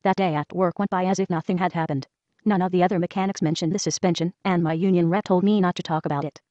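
A woman reads a first-person letter aloud at a quick clip, in an even, brisk read-aloud manner. Her voice sits slightly low and sounds dry, with no room around it. The recording is dull and lo-fi. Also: dry recording. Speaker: reader of a whistleblower letter. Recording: dull lo-fi voice track.

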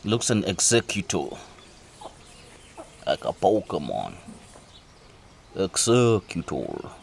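Very deep, gravelly man outdoors. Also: huge pitch swings, slow. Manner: musing, asks himself questions. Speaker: amateur vlogger filming a farm. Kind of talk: casual off-the-cuff narration of animals. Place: outdoors in a farmyard. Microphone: handheld camera, behind the lens. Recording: noisy outdoor camera audio, birds and insects.

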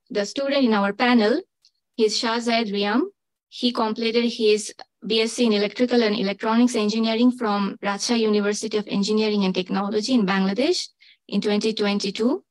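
A woman moderating a conference panel, reading speaker introductions with frequent pauses and fillers. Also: medium pitch, dry recording, low-quality recording. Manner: halting, many uh fillers.

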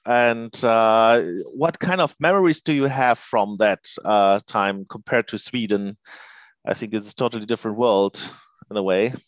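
A man interviewing a singer over a video call on a headset, talking haltingly with many fillers. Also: fairly low pitch, dry recording. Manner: halting, many fillers, non-native phrasing.

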